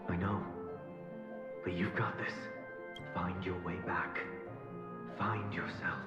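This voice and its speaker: A man playing a calm, quietly reassuring figure in a video game. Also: sits low, slow pace. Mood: gently urging. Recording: muffled, echoey hall under loud music.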